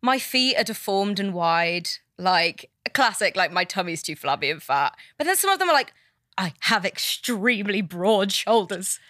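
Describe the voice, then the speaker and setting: A woman's voice: mid-range voice, big pitch swings, moderate pace, bright, forceful tone, no room sound, studio quality. A young woman in her twenties, a podcast guest chatting casually and poking fun at herself. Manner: self-mocking, laughs while reading.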